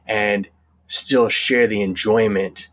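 A man co-hosting a podcast in casual conversation, with a husky, rough-edged voice. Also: on the low side, fairly even intonation, slow, a bit bright, rough recording. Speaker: podcast co-host. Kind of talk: casual chat.

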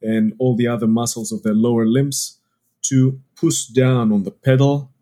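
A man from Greece with a Greek accent, a scientist guest calmly explaining things step by step in an interview. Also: medium pitch, normal speed. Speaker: podcast guest, exercise scientist.

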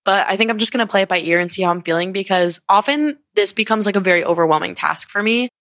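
A congested young woman from Connecticut hosts her own podcast, talking in a loose, rambling stream with plenty of filler words and swearing. Her pitch sits in an ordinary middle range, and the recording is dry and close, with no room sound. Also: fast talker, fairly bright tone, no room sound. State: sniffly, congested. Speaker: podcast host. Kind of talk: casual solo podcast talk.

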